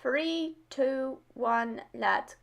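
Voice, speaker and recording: A woman's voice: high voice, drawn-out words, gravelly, a little hiss or hum. A woman hosting a reaction video, chatting casually.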